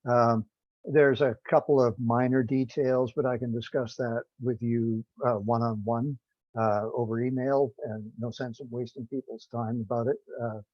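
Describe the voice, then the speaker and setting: A man speaks haltingly as a participant in an online meeting, feeling his way through his phrases. His pitch is average, and the audio is dry and somewhat dull, as on a lo-fi call. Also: level tone, no echo. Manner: hesitant, halting delivery. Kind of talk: informal online meeting talk.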